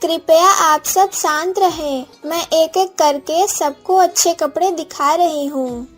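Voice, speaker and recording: A woman plays a wronged orphan girl from a village cartoon, high in pitch and unhurried. She speaks with earnest drama and a proud heart, with music playing underneath. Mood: proud, polite. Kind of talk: Hindi moral-story cartoon dubbing.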